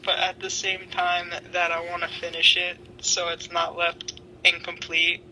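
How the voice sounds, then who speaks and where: A woman's voice: lowish voice, completely flat delivery, unhurried, pushed and bright, slight background noise, low-quality recording. A young woman reading fan fiction dramatically and chatting in slang with friends.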